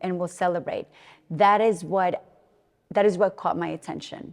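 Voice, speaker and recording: A woman's voice: on the low side, fairly quick, gravelly, darkish tone, long reverb tail. A woman from Colombia, speaking earnestly and reflectively as an interview guest. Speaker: interview guest, fitness coach and gym owner. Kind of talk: personal interview conversation.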